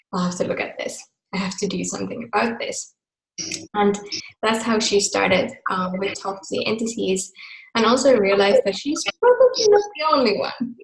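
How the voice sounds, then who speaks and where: A woman's voice: medium pitch, vocal fry. A woman from Finland hosting a group video call, talking casually with many fillers and asides. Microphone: computer mic on a video call. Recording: echoey video call audio.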